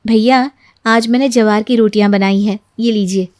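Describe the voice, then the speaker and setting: A woman storyteller voicing a gentle new daughter-in-law, polite, soft-spoken and earnest about healthy living. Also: mid-range voice, steady tone, moderate pace, lightly acted. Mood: earnest, instructive.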